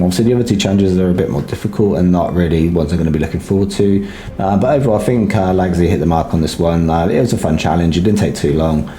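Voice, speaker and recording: A deep-voiced man talks through a mobile game in a relaxed, halting way, his throat a little sore. His voice is dark and mellow, and the room gives it a noticeable echo. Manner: hesitant, filler-heavy, low-key. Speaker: gaming guide creator. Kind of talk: casual game commentary. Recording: roomy echo.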